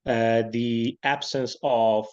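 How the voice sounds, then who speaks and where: A man in his thirties from Pakistan, a tech consultant answering interview questions over a video call in a steady way, often saying "you know". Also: mid-range voice, takes it slowly, smooth, highs cut off. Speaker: guest, tech and business consultant. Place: village in northern Pakistan.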